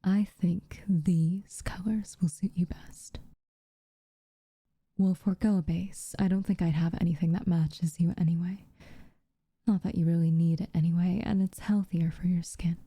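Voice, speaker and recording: A woman playing an aloof, teasing but secretly caring witch in a soft, breathy, intimate ASMR roleplay. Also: lowish voice, steady tone, dry recording, clean studio sound. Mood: gently instructing. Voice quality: soft, breathy, often near a whisper. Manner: unhurried, hushed, dryly teasing.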